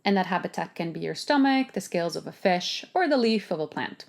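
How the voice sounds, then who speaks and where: A woman's voice: lowish voice, expressive, brisk, vocal fry. A woman hosting a podcast, reading a scripted introduction with playful asides. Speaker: podcast host. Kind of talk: scripted narration.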